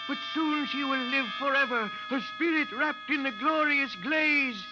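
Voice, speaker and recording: A man playing a mournful, fatalistic alien villager, slow and solemn, in 1950s science fiction radio style. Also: very high voice. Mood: mournful, resigned. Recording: dull old radio recording, loud music.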